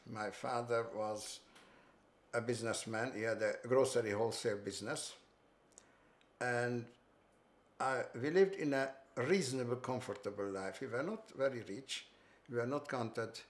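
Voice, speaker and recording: An older man with a Hungarian accent, recounting his life story in a halting way, restarting and repeating phrases, in a living room. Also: medium pitch. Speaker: interviewee. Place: bright living room, seated on sofa.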